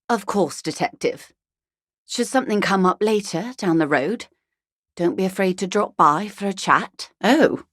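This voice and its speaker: Game role: genteel, shrewd female corporate negotiator, lowish voice, measured irony, dry close studio sound. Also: no room sound. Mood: coy, conspiratorial, then dry, polite. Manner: measured, dryly ironic, refined. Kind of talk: video game character dialogue.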